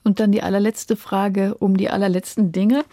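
Mellow woman hosting a radio interview. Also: medium pitch, flat delivery, moderate pace, no echo, studio quality. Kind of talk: prepared radio interview questions. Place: dry radio studio.

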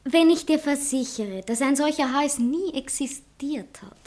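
An actress playing a self-important young divorced poetess in a satirical stage comedy, speaking theatrically and earnestly. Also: female voice, high voice, lively intonation, a little slow, darkish tone. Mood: defensive, coaxing. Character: self-dramatizing young divorced poetess defending herself to her fiancé. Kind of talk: stage comedy acting in a radio play manner.

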